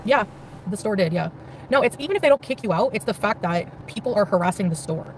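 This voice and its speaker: Woman talking fast on a live stream, faint car noise in the background. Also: mid-range voice, expressive, poor audio. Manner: chatty, reading out viewer comments. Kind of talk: casual live stream chat.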